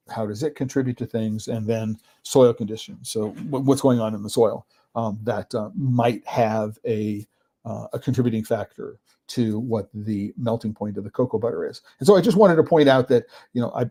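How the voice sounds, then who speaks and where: A man hosting a podcast, giving an informal explanatory talk in a halting way, searching for words and often checking in with the listener. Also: mid-range voice, mellow. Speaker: podcast host.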